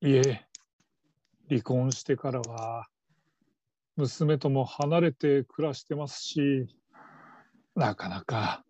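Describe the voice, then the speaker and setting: Radio drama trainee male actor: middle-aged, meek, hesitant, unhurried, soft-toned. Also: mid-range voice, dry recording, muffled recording. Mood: humble, subdued. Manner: hesitant, humble, apologetic. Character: timid, apologetic divorced middle-aged male call-centre trainee.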